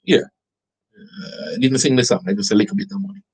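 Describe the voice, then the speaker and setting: A man with a Jamaican accent hosting an online show, reading a government budget aloud and breaking off into incredulous, animated remarks to his viewers. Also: medium pitch, brisk, husky. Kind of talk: commentary reading out a document.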